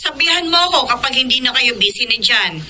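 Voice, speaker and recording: A caring, inquisitive Filipino mother in a radio serial. Her voice is high, with clearly noisy ambience behind it. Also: expressive, a little fast, bright, forceful tone, exaggerated voice. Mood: probing, accepting. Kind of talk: Filipino radio drama acting.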